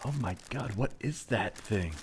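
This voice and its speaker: A man voicing an awed, furtive scholar from the future, speaking in a secretive hush in an improvised comedy sketch. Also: fairly low pitch, animated, unhurried, background noise. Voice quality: husky, hushed.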